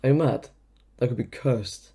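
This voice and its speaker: A man playing a blunt, sarcastic schoolboy wizard in an audio drama, with a gravelly, husky voice. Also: medium pitch, normal speed, warm tone. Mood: wry, incredulous. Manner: sarcastic, incredulous. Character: blunt, wry schoolboy wizard, loyal sidekick.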